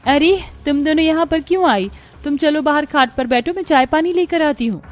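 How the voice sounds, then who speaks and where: A woman's voice: sits high, moderate pace, soft background music, voice pushed up, lightly acted. A woman voicing a poor, dutiful young village daughter in a Hindi cartoon story, earnest and plaintive. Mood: dutiful, apologetic.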